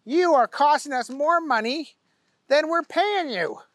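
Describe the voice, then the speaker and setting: A man voicing a stern, exasperated boss of a candy factory who scolds in a high, sharp voice. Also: expressive, unhurried, no room sound, studio quality, exaggerated voice. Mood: scolding.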